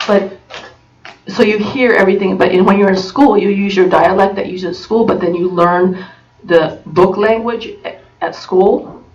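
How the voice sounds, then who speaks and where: A woman from the United States giving an informal talk to an audience, full of fillers and restarts. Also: lowish voice. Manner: halting, many fillers and restarts. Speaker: presenter. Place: conference room. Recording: dull recording from far off.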